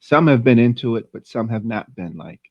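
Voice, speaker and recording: A man's voice: medium pitch, lively intonation, brisk, no echo. A man co-hosting a podcast interview, chatty and easygoing, asking long rambling questions. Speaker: podcast co-host. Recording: dull lo-fi dry sound.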